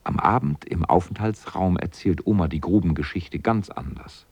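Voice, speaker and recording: A man with a husky voice narrating a scripted children's story as the narrator of a radio drama. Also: low voice, moderate pace, a little mellow, no room sound, muffled recording.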